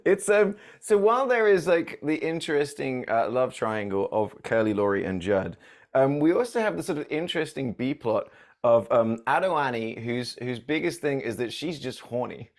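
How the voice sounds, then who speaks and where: A man co-hosting a casual movie podcast, chatty and quick to laugh, tossing in jokey asides. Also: mid-range voice, big pitch swings. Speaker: podcast co-host.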